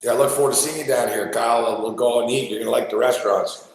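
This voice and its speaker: A man hosting a sports betting show, chatting casually and loudly with plenty of swearing while he eats on air. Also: medium pitch, fairly even intonation, fast. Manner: loud, profane, rambling banter. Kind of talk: casual live-stream chat while eating. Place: small studio. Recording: echoey room.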